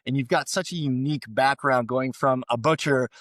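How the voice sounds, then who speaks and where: A man's voice: medium pitch, fairly even intonation, normal speed, fairly bright tone, no room sound. Podcast host guy, upbeat and gushing, dry close mic, mid voice. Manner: enthusiastic, effusive introduction. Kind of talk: podcast interview.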